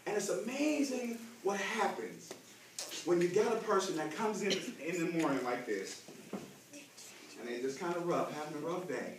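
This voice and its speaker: A man's voice: high voice, normal speed. A middle-aged American man giving a playful, theatrical presentation to children in a classroom. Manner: animated, theatrical. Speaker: presenter at a school event. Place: classroom, indoors, full of children. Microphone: distant camera. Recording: very echoey, noisy classroom, mic far away.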